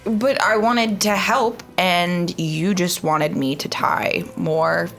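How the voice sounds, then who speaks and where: A woman playing a tabletop roleplay, describing her character and arguing in character in an animated way. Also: lowish voice, slow, vocal fry, faint music behind. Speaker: player.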